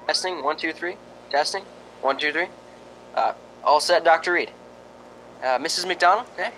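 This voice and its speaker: An actor playing a chatty, slightly nervous ghost-hunting radio host in a radio drama, hesitant and conversational. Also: male voice, fairly high pitch, moderate pace, bright, forceful tone, a little hiss or hum, poor audio. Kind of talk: radio drama acting, on-air commentary.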